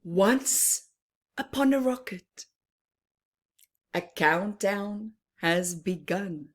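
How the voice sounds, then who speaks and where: A woman reads aloud as a story time host for small children. Her pace is very slow and deliberate, with a mid-range pitch and pauses between short phrases. The delivery is expressive, often easing down toward a hush. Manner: deliberate phrasing with pauses, often dropping to a hushed near-whisper. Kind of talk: expressive read-aloud for young children, with chatty asides.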